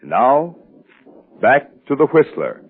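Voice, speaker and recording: A man's voice: mid-range voice, big pitch swings, takes it slowly, slightly rough. A man reading a commercial as an announcer in the style of 1940s radio advertising. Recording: muffled, band-limited 1940s radio recording.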